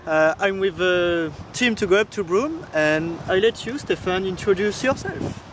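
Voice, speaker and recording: A man's voice: expressive, unhurried, crowd noise. A man hosting a casual travel vlog, introducing himself and his group outdoors. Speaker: vlog host. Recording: loud wind and engine rumble.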